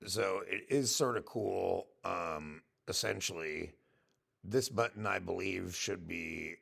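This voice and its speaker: A man with a gravelly, husky voice, casually walking through a software demo and thinking aloud as he goes. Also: deep voice, slow pace. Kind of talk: casual screen-share product walkthrough.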